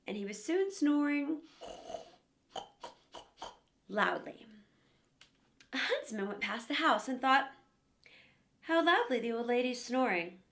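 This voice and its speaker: A woman reading a fairy tale aloud to children as an expressive storyteller. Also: high voice, creaky.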